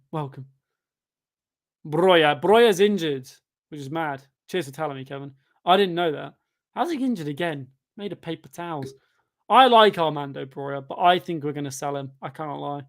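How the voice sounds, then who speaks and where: A twenty-year-old man from Devon with a Devon accent, chatting casually and rambling on a football watchalong stream, with sudden loud outbursts at chances. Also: fairly high pitch, vocal fry. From England. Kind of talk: casual live-stream chat reacting to a match and reading chat.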